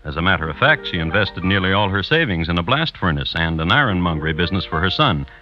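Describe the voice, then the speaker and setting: A man's voice: low voice, big pitch swings, fast, soft background music. A man giving wry, mock-serious scripted narration for an old-time radio drama. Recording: dull vintage radio recording.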